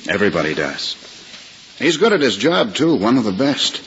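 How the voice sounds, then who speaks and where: An actor playing a kind, easygoing young lawyer and fellow patient with an American accent, casual and reassuring, in 1950s radio drama style. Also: male voice, fairly low pitch, very animated, normal speed, fairly bright tone. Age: young adult. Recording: hissy, muffled, reverberant old radio recording.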